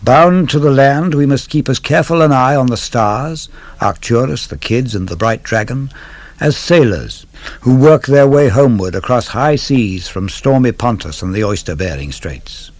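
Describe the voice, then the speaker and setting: A man reads verse aloud in a measured, instructive way. His pitch moves expressively through each phrase, and every line is set down deliberately. The sound is close and dry, with very little room around the voice. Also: expressive, dry recording. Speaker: poetry reader. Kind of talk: dramatic verse recitation, scripted narration.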